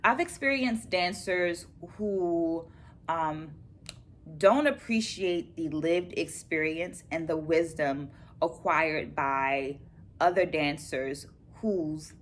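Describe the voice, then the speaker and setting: Casting: woman, middle-aged, lowish voice, careful educator delivery for a book vlog, noticeable ambient noise. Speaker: dance educator and vlogger. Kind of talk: book-review vlog, presenting takeaways to viewers. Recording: clear background noise, room ambience.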